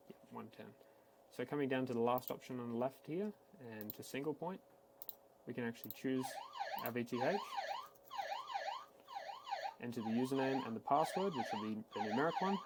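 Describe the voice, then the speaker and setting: Creaky-voiced man giving a casual tutorial. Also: medium pitch, lively intonation, fairly quick, pauses often, very noisy. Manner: casual, matter-of-fact, step-by-step. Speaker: tech support instructor. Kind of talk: screen-recorded software tutorial, unscripted walkthrough.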